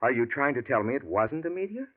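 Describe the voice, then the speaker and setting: A man's voice: mid-range voice, very animated, fast talker, close to an everyday voice. An actor playing an earnest male biographer who narrates and asks probing questions in a 1940s radio drama. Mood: curious. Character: earnest adult male biographer, curious, loyal. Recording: tinny lo-fi old radio broadcast.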